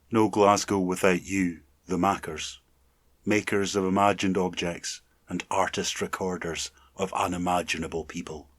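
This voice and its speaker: A man's voice: low-pitched, a bit bright, no room sound. Level-voiced man reciting poetry, close and dry. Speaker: poetry reader. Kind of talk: literary poem recitation.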